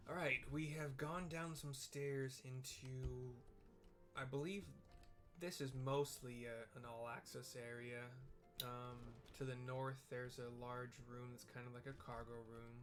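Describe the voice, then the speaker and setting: A man's voice: medium pitch, steady tone, unhurried. Casual man narrating a game, music behind. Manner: informal, meandering explanations. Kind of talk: casual gameplay commentary with descriptions.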